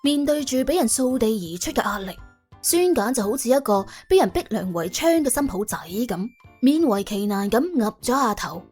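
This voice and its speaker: Female audiobook narrator, Cantonese, higher register, animated dramatic delivery with a wry, chatty edge. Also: moderate pace, husky, soft background music. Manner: wry, colloquial, exclamatory. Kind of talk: dramatic scripted storytelling.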